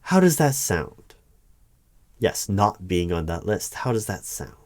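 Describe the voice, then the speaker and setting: A man playing a wary, unflappable hiring manager in an audio roleplay interview, speaking in a measured, formal, wordy way with hesitant pauses. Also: fairly low pitch, very animated, a little mellow, no echo. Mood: negotiating, persuasive. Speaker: roleplay performer. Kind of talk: one-sided ASMR audio roleplay interview.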